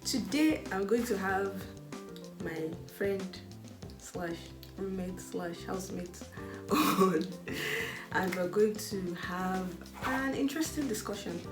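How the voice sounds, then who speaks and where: A woman's voice: mid-range voice, takes it slowly, reverberant, music in the background. A young woman with a Nigerian accent hosting her own channel, chatting frankly and with animation. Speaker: vlogger host. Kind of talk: casual chat.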